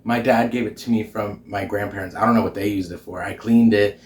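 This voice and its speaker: A man's voice: fairly low pitch, steady tone, fairly quick, recorded from a distance. A young man in drag hosting a home cooking vlog, bubbly and giggly, swearing often as he tastes his food. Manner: bubbly, giggly, swears often, puts on a mock Irish lilt. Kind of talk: casual cooking vlog chat. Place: home kitchen, indoors. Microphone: camera mic from a few feet away. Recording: roomy kitchen echo, off-mic.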